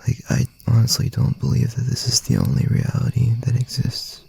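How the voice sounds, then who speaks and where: A man playing a gentle, teasing young boyfriend in an intimate ASMR roleplay, murmuring softly and reassuringly in a breathy, gravelly voice. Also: very animated. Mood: musing. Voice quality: soft, breathy, husky, gravelly low murmur. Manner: unhurried, rambling, reassuring, with pauses.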